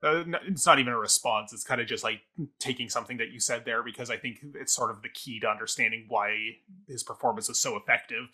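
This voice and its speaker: A man co-hosting a podcast, giving a casual, analytical review in a thoughtful, halting way as he works through his explanations. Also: medium pitch, pushed and bright, slightly rough. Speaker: theatre review podcast co-host.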